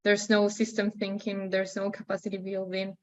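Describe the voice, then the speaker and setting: Young female participant, Peruvian Spanish accent, even intonation, searching for words, muffled lo-fi call audio. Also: mid-range voice, normal speed. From Peru. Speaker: participant asking a question. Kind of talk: spontaneous question in a discussion.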